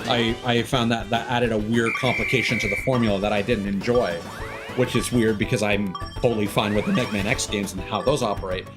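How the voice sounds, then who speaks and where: A man streaming games, chatting casually and giving opinions in halting phrases with emphatic bursts. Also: fairly low pitch, steady tone. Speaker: game streamer. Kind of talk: casual opinionated rambling on a livestream. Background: music behind the voice.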